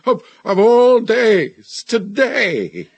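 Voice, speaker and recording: An actor playing a tormented middle-aged husband in a radio drama, confessing in a halting, heavy way. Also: male voice, high voice, big pitch swings, vocal fry, no echo, heavily acted. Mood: anguished, desperate. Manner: halting, heavy, agonized delivery.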